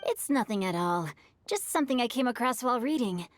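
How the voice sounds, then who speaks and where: A woman's voice: a little high, expressive, slight background noise, close to an everyday voice. A woman playing a polite, bookish youth in a video game, speaking in an articulate, thoughtful and courteous way. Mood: modest, curious. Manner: articulate, measured, courteous storyteller. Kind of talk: video game character acting. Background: game music.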